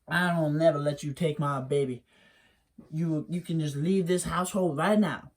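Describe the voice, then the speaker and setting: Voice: medium pitch, fairly even intonation, normal speed, close to an everyday voice. A storyteller voicing a clever, stubborn country mother who speaks slyly and defiantly. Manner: sly, defiant. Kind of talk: oral folktale telling.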